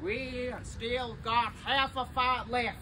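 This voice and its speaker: A man's voice: very high-pitched, fairly even intonation, takes it slowly, pushed and bright, heavily acted. A young man playing a folksy, blustering American wartime reporter in a parody news report, with a drawling delivery. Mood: folksy, boastful. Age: young adult. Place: open urban field outdoors. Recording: noisy outdoor recording with traffic.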